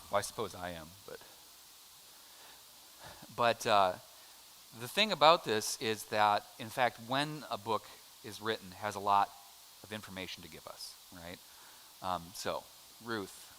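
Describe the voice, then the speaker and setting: A man's voice: fairly low pitch, sharp tone, a little hiss or hum, low-quality recording. A middle-aged American man teaching a casual adult Bible class, chatty and joking as he quizzes the room. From United States. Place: church classroom.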